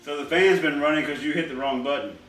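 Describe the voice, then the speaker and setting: A man's voice: fairly high pitch, quick pace, fairly bright tone, distant mic. Male vlog co-host, casual and wisecracking, recorded in an echoey indoor space with faint music behind. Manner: teasing, wisecracking. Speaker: co-host of a home unboxing vlog. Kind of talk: casual joking banter. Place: indoors in a trailer home. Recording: roomy echo, off the mic, faint music.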